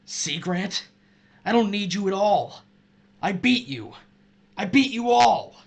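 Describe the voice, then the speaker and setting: A man playing a desperate, vengeful missile officer in an audio drama, gravelly and theatrical, talking to himself. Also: sits high, animated, slow, heavily acted. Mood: manic triumph. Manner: frantic, muttering, theatrical.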